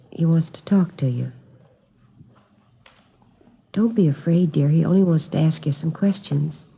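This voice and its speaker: Dark, husky, shaken mother. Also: low-pitched, exaggerated voice. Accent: American. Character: shaken, worried mother of a young son. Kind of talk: 1950s radio drama acting. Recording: muffled old radio recording.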